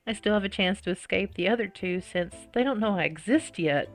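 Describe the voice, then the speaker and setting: A woman plays through a visual novel and reads it aloud. Her delivery is expressive and dramatic, breaking into her own reactions, with faint game music behind her. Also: mid-range voice, a little fast. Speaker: video game let's-player.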